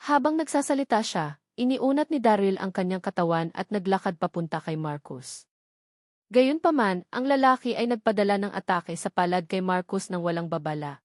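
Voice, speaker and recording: A woman narrates a work of fiction from a script. Her voice sits at an average pitch for a woman. The sound is dry, with very little room around it. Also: lively intonation, normal speed, a little mellow, dry recording. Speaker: audiobook narrator.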